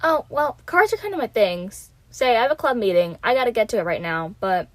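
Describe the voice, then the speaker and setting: A woman's voice: on the high side, expressive, a little fast, dry recording, lightly acted. A woman playing an eager, chatty and earnest young student who loves cars, in a detective radio drama. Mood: eager, friendly.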